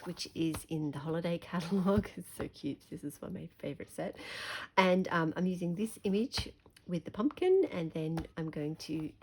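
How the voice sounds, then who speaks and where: An Australian woman with a slightly croaky voice, chatting through a hands-on craft tutorial at her desk. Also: fairly low pitch, a little hiss or hum. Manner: chatty, relaxed, thinking aloud. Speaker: craft demonstrator.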